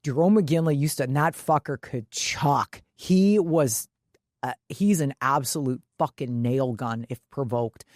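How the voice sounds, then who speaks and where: A woman's voice: rumbling low, a little slow, a little mellow, no echo. Brash woman co-hosting, very dry sound. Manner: brash, salty, swearing freely. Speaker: podcast co-host. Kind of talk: casual, animated sports chat.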